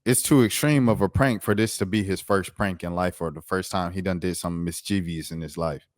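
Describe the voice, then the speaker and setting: A man's voice: low voice, brisk, dry recording, studio quality. An American man giving a casual, opinionated sports rant in a slangy, incredulous, emphatic way, repeating himself for effect. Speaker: sports commentator, show host.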